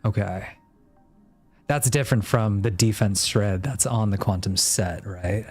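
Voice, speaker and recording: A man's voice: fairly low pitch, unhurried, breathy edge. A man streaming a video game with casual live commentary, tossing out exclamations and muttering as he reads along. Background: faint game music.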